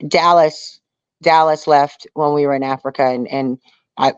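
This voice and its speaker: A woman's voice: low-pitched, fairly even intonation, normal speed, clear, resonant tone, no room sound. Dull lo-fi audio, emphatic conversational woman. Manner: emphatic, conversational, often checking with listener. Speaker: guest, reality survival show contestant. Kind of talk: casual interview talk.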